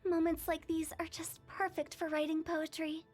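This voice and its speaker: Mischievous young female funeral director, game role. High, unhurried, teasing delivery with sing-song rhymes. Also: little pitch movement. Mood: playful. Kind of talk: game character voice lines.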